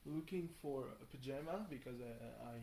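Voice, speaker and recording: A tired young man rambles casually through a video diary, halting and thinking aloud, often questioning himself. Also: medium pitch, gravelly, dark tone. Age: young adult. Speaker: video blogger. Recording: roomy echo, faint background noise.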